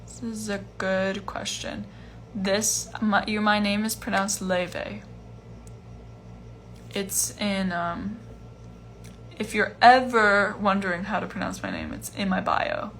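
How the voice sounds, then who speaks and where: Chatty young woman with a gravelly, bright voice. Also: mid-range voice, slow pace, a little hiss or hum. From Iceland. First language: Chinese. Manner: chatty, relaxed, lots of "like" and "um" fillers. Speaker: musician hosting a livestream Q&A. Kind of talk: casual livestream chat reading fan questions. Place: at home. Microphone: phone livestream.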